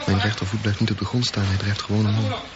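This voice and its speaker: Radio drama lead: dreamy, wondering teenage boy played by a man, unhurried musing delivery, muffled audio with clear noise. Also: fairly low pitch, level tone, lightly acted. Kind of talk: radio drama acting, reflective monologue. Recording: dull, noisy old radio recording.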